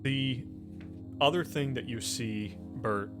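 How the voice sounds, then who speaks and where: A man running a tabletop game, improvising the narration haltingly and repeating himself for suspense. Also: mid-range voice, music in the background. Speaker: game master.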